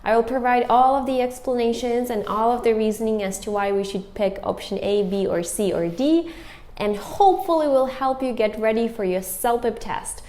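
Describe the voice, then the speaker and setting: A woman's voice: a little high, normal speed, mellow. A woman explaining listening test questions and answers, step by step and methodically. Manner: methodical, repeats options step by step. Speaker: English test prep teacher.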